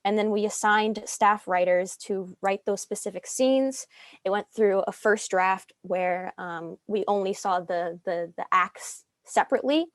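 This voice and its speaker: Young woman, medium pitch, no room echo, casually explaining how something got done. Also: measured pace. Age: young adult. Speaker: student story editor.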